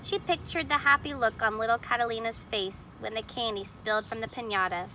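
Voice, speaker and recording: A woman's voice: fairly high pitch, fairly quick, sharp tone, a little hiss or hum, rough recording. A woman reading a picture book aloud expressively, stopping to explain the illustrations simply, as if to young children. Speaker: storybook reader.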